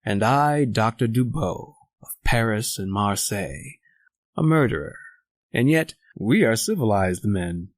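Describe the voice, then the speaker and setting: An actor playing a cold, calculating, educated murderer in a radio horror drama, speaking formally and deliberately. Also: male voice, lowish voice, expressive, no echo. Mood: cold, superior. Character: cold, calculating educated murderer doctor, leader of escaped convicts. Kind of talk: old-time radio horror acting, narrating and dialogue.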